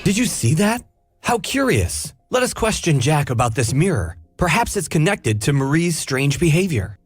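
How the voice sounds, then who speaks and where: An actor playing a courteous, inquisitive detective with a slight touch in a game cutscene. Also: male voice, a little high, very animated, breathy edge, no room sound. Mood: intrigued. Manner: polite, measured, inquisitive. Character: courteous male detective, refined and curious. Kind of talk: hidden-object game cutscene.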